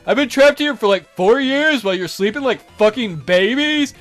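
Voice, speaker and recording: A man's voice: very high-pitched, lively intonation, normal speed, a bit bright, soft background music. Man playing a vain, flamboyant fallen god. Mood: bitter. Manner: pompous, flamboyant, demanding praise. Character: vain, boastful, resentful fallen male god.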